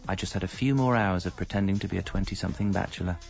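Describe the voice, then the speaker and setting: A man's voice: low-pitched, very fast, gravelly. An actor playing a middle-aged British married man who confesses his double life in a wry, articulate, self-mocking way, as radio comedy narration. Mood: wry, reflective. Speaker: narrator and lead character. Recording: dull lo-fi sound, faint music.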